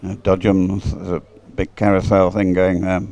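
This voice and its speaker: A man's voice: sits low, monotone, normal speed, darkish tone. A British man giving casual, unscripted live sports commentary in a hesitant, rambling, observational way. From United Kingdom.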